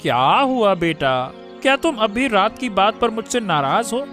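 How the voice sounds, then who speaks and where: A man's voice: high voice, big pitch swings, a little slow, a bit bright, soft background music, heavily acted. A man voicing a troubled, apologetic village father in a cartoon story, coaxing and explaining. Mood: pleading.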